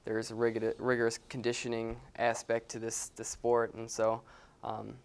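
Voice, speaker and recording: A man's voice: medium pitch, monotone, moderate pace, vocal fry, clean tone. A young man talking as a guest in a casual interview, an easygoing, chatty storyteller. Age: young adult. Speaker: guest, college cheerleader.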